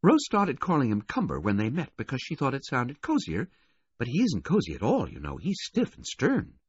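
A man's voice: medium pitch, wildly swooping pitch, moderate pace, husky, no echo, muffled recording, voice pushed up. A man voicing a sulky, bored rich teenage girl who blurts things out, in an audiobook story. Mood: confiding. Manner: disgruntled, blurting.

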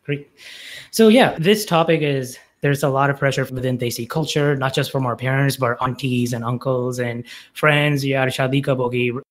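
A man in his late twenties leads a casual podcast conversation at a middle pitch. He talks in a relaxed, measured way. He keeps his questions short. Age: 20s. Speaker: podcast host.

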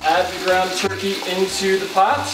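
A man's voice: sits high, level tone, moderate pace, heavy background noise. A man in his thirties co-hosting a cooking show, easygoing and jokey as he walks through a recipe in a home kitchen. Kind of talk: recipe demonstration and chat. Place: home kitchen set, indoors. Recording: roomy, distant sound with background music.

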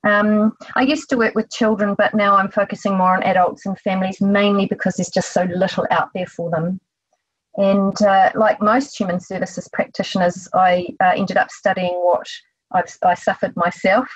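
A woman's voice: mid-range voice, steady tone, normal speed, no echo, lo-fi sound. A middle-aged woman with a New Zealand accent, a therapist answering interview questions conversationally.

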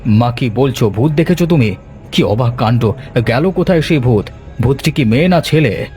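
A man's voice: mid-range voice, lively intonation, normal speed, dark tone, faint music behind, lightly acted. A man voicing a concerned grown son in a horror cartoon, speaking in a soothing, reassuring manner. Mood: alarmed concern.

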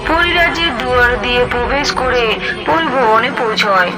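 A woman's voice: fairly high pitch, normal speed, loud music behind the voice, rough recording. A woman giving scripted narration for a fairy-tale cartoon.

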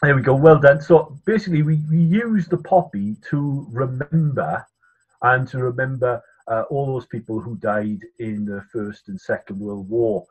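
A Welsh priest in his thirties talks to schoolchildren from his study over a laptop webcam. His voice is slightly husky and sits at an ordinary pitch, and his delivery is chatty and self-deprecating, with many filler pauses. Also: narrow, tinny audio. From Wales. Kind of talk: school assembly talk. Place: home study, indoors. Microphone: laptop webcam on video call.

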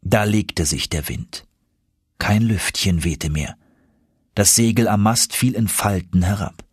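A man reads an adventure audiobook with expressive, suspenseful delivery. His voice is fairly low and noticeably husky. The recording is close and very dry. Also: takes it slowly, no room sound. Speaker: audiobook narrator. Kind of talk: dramatic scripted audiobook narration.

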